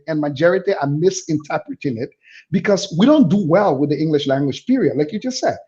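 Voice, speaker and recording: A Liberian man making a forceful argument in a radio panel discussion. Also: high voice. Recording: dull lo-fi radio studio audio.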